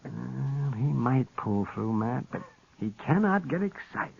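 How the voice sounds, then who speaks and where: Gravelly frontier doctor. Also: male voice, medium pitch, animated, takes it slowly, full character voice. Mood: cautious. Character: fussy, protective male frontier town doctor. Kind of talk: old-time radio western acting. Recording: dull, noisy lo-fi 1950s radio recording.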